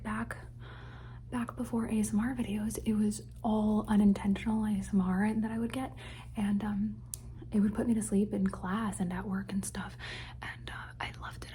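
A young adult woman whispering an ASMR question-and-answer session into a phone, rambling and softly repeating words as triggers. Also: mid-range voice, level tone, moderate pace, slight background noise. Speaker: ASMR creator.

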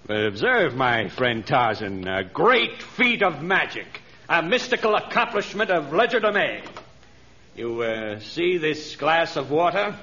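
Smug con-man magician, oily theatrical delivery, bright hard voice, dull old radio sound. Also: a little high, very animated, a little slow, slight background noise, heavily acted. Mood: smug, gloating. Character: sly swindling stage magician, smug menacing villain. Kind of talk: old-time radio adventure acting.

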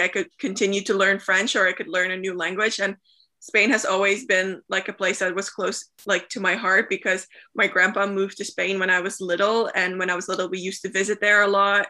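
A woman's voice: mid-range voice, steady tone, brisk, bright, forceful tone. A woman in her twenties from the Flemish part of Belgium, a native Dutch speaker, chatting easily in an interview about her travels and peppering her answers with "like". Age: 20s. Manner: chatty, frequent "like" fillers. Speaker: guest, travel content creator.

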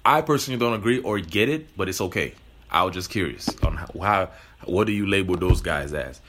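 A man hosting a loose, casual interview with a lowish voice. He cuts in often, saying "wait" and "hold on" to stop his guest, then asks his questions bluntly. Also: lively intonation, fairly quick, fairly bright tone, a little hiss or hum. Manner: interrupts, says "hold on" a lot. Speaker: host.

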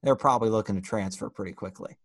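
A man's voice: on the low side, fast talker. A man co-hosting a casual podcast debate in a blunt, argumentative way. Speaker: podcast co-host.

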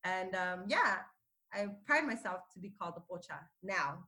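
Candid bright-voiced bilingual woman. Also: on the low side, animated, moderate pace. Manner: candid, emphatic, mixes Spanish into English. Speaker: guest sharing her view. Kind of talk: recorded personal testimony. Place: Tijuana.

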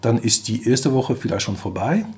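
A man's voice: mid-range voice, lively intonation, moderate pace, a little mellow. Hesitant Belgian French-accented man. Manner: thoughtful, explaining step by step with frequent hesitations. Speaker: professor of applied mechanics. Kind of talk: interview answers.

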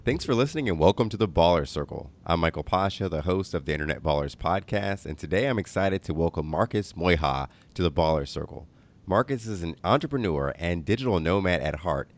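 A man with a low, deep voice hosts an interview podcast. He sounds upbeat and encouraging and repeats his praise often. His questions wander with plenty of uhs. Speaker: podcast host.

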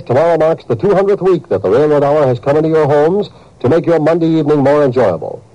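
Lively, mellow American radio promo announcer. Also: male voice, a little high, dry recording. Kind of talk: scripted 1950s promotional announcing. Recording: muffled old 1950s radio recording.

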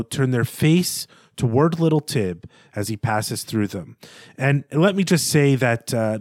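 Male podcast host, mid-pitched, articulate and reflective, halting at times, dry close recording. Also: darkish tone, dry recording. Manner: thoughtful, articulate, hesitant with stammered repeats. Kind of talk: literary analysis in conversational monologue, with quoted passages.

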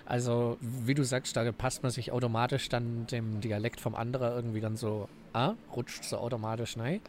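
A man from Swabia with a Swabian accent, a podcast guest telling stories in relaxed casual chat. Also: medium pitch, moderate pace. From Swabia, Germany.

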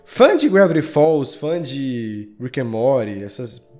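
A man's voice: medium pitch, sing-song, slow pace, music in the background. A man with a Brazilian accent chatting informally and with slang as a guest podcast creator. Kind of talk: casual chat.

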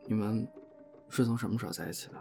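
A man's voice: on the low side, quick pace, vocal fry, warm tone. Reserved young man over music. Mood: subdued, hurt. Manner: restrained, formal, matter-of-fact. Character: reserved young male insurance adjuster, polite but stubbornly aloof. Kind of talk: audio drama acting.

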